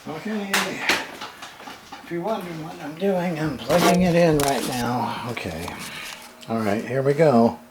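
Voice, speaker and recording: A man's voice: fairly high pitch, unhurried. A man giving casual hands-on unboxing commentary, muttering and grumbling to himself with some mild swearing. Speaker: hobbyist radio repairer presenting an unboxing. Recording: noisy home recording with handling clicks.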